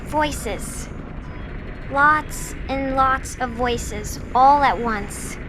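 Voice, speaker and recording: A woman's voice: sits high, drawn-out words, noisy background. A woman playing an innocent, anxious young daughter in an audio drama, speaking with hesitant, childlike phrasing. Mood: wondering, eerie.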